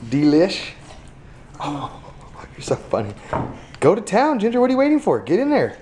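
A man's voice: high voice, wildly swooping pitch, moderate pace. A young man filming his family at home, playfully quizzing his daughter with questions. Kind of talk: casual family vlog chat. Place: home kitchen, indoors. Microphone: handheld camera. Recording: noisy home camera audio.

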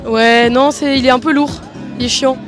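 Young woman, offhand and brief, high voice, outdoors. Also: fairly even intonation, normal speed, music in the background, crowd noise. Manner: short, offhand replies. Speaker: carnival participant in costume. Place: outdoor steps at a carnival. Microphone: handheld mic held to her.

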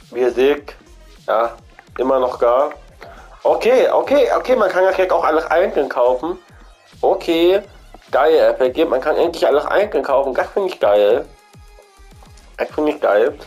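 A man's voice: fairly high pitch, darkish tone. A man with a cold, chatting casually as he walks through an in-game shop, repeating himself and thinking aloud. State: has a cold. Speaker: gaming channel host.

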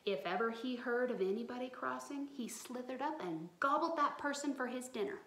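A woman's voice: a little high, fairly quick, a bit bright. A woman in her thirties reading a storybook aloud expressively to children, recorded at home on a device at arm's length. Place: small bright room at home. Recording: roomy echo, some background noise.

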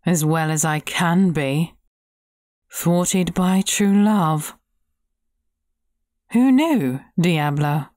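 A woman's voice: lowish voice, very slow, pauses often, a little mellow, no room sound, clean studio sound. A woman playing a scheming, coolly menacing sorceress in a fairy-tale audio drama, with a drawling, imperious delivery. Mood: cold, scheming.

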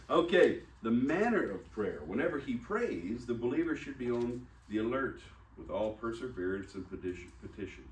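A man's voice: mid-range voice, very animated, moderate pace. A man preaching a sermon, teaching in a folksy, joking way and laughing often. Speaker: pastor. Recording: roomy church hall, distant mic, faint hiss.

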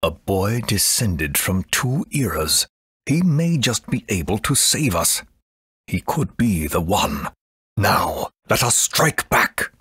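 A man's voice: lowish voice, big pitch swings, slow, fairly bright tone, slightly rough, no room sound, studio quality, full character voice. A man playing a grave, authoritative leader of a fortress in a dramatic video game style. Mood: solemn. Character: grave, commanding fortress leader, easily flustered.

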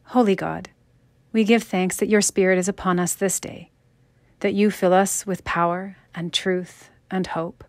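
A woman's voice: mid-range voice, steady tone, measured pace. Middle-aged woman minister preaching. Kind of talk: sermon and prayer. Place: church sanctuary, at the pulpit.

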